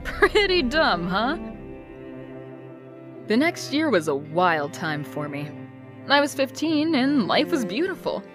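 Woman doing a wry, wistful young narrator with a lively, animated pitch. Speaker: narrator of her own past. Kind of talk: comic dub narration.

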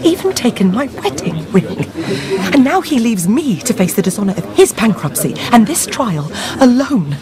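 An actress playing a bitter, distressed baroness in a radio drama. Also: female voice, fairly high pitch, big pitch swings, normal speed, a crowd in the background. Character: bitter, distressed wronged society wife, a baroness. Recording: loud music behind.

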